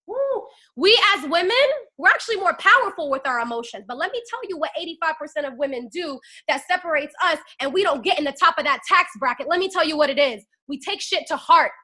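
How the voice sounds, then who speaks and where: A young woman in her twenties with a very high, bright voice preaches a fiery motivational pep talk. She talks into a phone and keeps rallying her listeners with call-and-response. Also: animated, a little fast. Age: 20s. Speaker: network marketing team leader.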